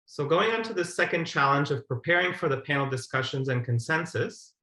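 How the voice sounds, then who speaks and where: A man's voice: fairly high pitch, fairly quick, sharp tone. A man giving a formal, methodical explanatory presentation as a webinar presenter over a video call. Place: indoor room, on a video call. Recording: roomy, echoey webinar audio.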